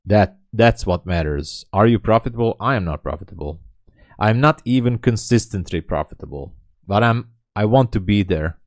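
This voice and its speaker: A man in his late thirties with a low, deep voice talks casually on a live trading stream. He is chatty and candid and responds to viewers' comments. The sound is dry and close, with almost no room echo. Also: expressive, moderate pace. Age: 30s. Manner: candid and self-deprecating. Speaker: day trader streaming live. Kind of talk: casual livestream chat.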